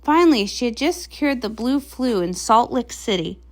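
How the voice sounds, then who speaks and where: A woman narrator reading a comic story aloud in an expressive storybook style. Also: a little high, moderate pace.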